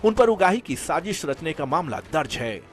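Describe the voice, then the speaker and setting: A man's voice: fairly high pitch, very animated, moderate pace. A man narrating a scripted news report as a reporter. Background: background music.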